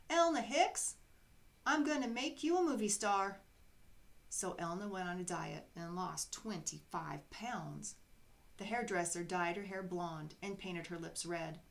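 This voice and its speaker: A woman's voice: fairly low pitch, creaky. Woman reading a children's storybook aloud. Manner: expressive, acts out characters' dialogue.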